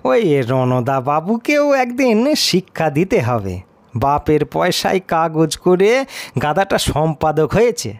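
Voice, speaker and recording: A man's voice: fairly high pitch, very animated, takes it slowly, no echo, exaggerated voice. An actor playing an irascible, scornful male stranger in an audio story, scolding sarcastically. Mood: curt, scornful. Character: irascible cigar-smoking stranger, scornful critic of ghost stories. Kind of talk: audio horror-comedy story acting.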